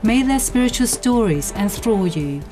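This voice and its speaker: A woman giving gentle, devotional scripted narration. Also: fairly high pitch, animated, normal speed, poor audio. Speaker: program narrator. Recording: music bed under voice.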